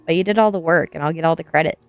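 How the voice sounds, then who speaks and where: A woman's voice: deep voice, racing, smooth, no echo, dull audio, faint music behind. A woman voicing an anxious teenage boy in a storybook, with a nervy, urgent manner. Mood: grateful.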